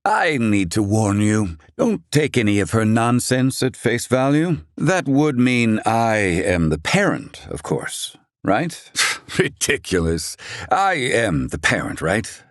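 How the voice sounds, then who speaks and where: A man's voice: fairly low pitch, very animated, slow, no echo, clean studio sound. An actor playing a proud, grumpy, brainy older man in a video game, with pompous, blustering, wordy old-fashioned diction. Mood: proud, grumbling. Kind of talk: dramatic English-dub game acting.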